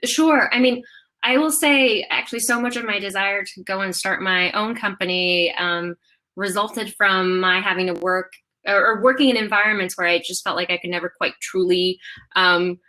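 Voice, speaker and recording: A woman in her thirties, founder of a company, answering interview questions in an animated way, with many fillers and restarts. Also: mid-range voice, moderate pace, rough recording. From half Japanese. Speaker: founder and CEO of a clothing brand.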